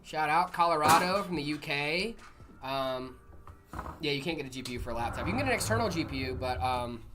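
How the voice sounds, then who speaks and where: A man's voice: on the high side, expressive, fairly quick, heavy background noise. A man hosting a livestream, chatting casually in informal bro slang with lots of hesitant fillers as he reads out and answers viewer comments. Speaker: livestream host at a PC builder.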